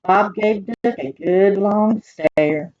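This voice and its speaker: A woman's voice: mid-range voice, slow, darkish tone, muffled recording. A woman with a Southern US accent reading a children's picture book aloud, chatting in folksy asides and putting questions to her young listeners. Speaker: library storyteller.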